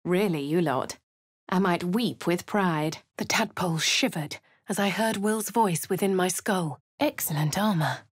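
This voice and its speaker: A woman's voice: lowish voice, measured pace, slight background noise, close to an everyday voice. An actress with a posh English accent voicing a wry female fantasy adventurer in a video game, narrating and speaking in character. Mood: measured, wry.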